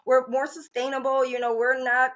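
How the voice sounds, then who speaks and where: Middle aged cuban-born woman host, kinda high voice, rambly and earnest, lots of "you know". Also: flat delivery, normal speed, a bit bright. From Cuba. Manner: earnest, rambling, many restarts and fillers. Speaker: podcast host. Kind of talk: casual interview chat.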